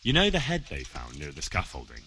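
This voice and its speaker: Earnest young investigator, lively intonation. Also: male voice, very deep voice, brisk, soft background music, heavily acted. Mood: inquisitive, earnest. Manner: inquisitive, polite, plain-spoken. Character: earnest young medieval man investigating mysteries. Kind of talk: video game dialogue acting.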